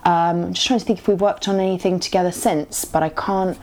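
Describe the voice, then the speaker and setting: A woman's voice: on the low side, steady tone, brisk, a little mellow. A woman actor chatting as an interview guest, rambling and giggling, with lots of fillers. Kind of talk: casual interview chat.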